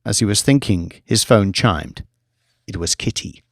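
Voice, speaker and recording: A man's voice: fairly low pitch, measured pace, gravelly, no room sound, clean studio sound. A man reading scripted fiction as an audiobook narrator.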